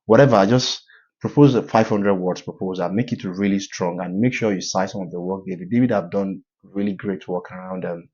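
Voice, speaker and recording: A man walking viewers through a form in a tutorial, giving advice in a halting way with many filler sounds. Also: low-pitched, darkish tone, husky, highs cut off. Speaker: scholarship advice YouTuber. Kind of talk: screen-share walkthrough tutorial.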